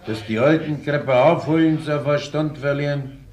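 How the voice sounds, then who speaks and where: Man playing a grumbling, high-ish commoner. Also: normal speed, a little mellow, close to an everyday voice. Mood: skeptical, grumbling. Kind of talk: radio play acting. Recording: crowd noise behind.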